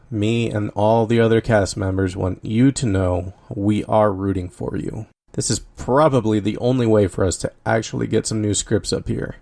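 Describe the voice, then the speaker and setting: A man's voice: on the low side, creaky, darkish tone. A man playing someone's embodied anxieties and traumas in a dramatic monologue, blunt, exasperated and swearing casually, yet caring. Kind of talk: dramatic monologue addressed to the listener.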